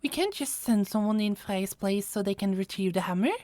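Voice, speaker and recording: A woman acting in a fantasy audio roleplay as an expressive goddess, sensible and playfully bossy. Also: mid-range voice, a little fast, no echo, lightly acted. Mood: worried, reasoning.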